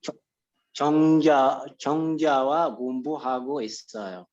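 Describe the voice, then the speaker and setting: A man answering haltingly as a student in an online language class, searching for words. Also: mid-range voice, darkish tone. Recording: dull lo-fi video call audio.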